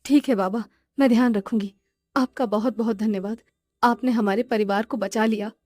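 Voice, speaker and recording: Casting: actress as deferential young woman for horror cartoon. Raised pitch, dry studio sound, grateful and polite. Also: steady tone, moderate pace, a little mellow, dry recording, close to an everyday voice. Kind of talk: animated horror story acting.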